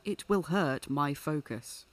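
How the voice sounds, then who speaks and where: A man's voice: on the high side, takes it slowly, dry recording. An actor playing a stern, sceptical young male student in an audio drama, prim and wordy. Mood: disapproving. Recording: music under the voice.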